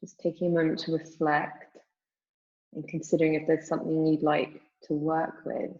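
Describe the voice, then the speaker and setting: A woman teaching yoga nidra, guiding a meditation in a calm, soothing, measured manner with long pauses. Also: low voice, lo-fi sound. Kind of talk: guided meditation, spoken slowly with long pauses.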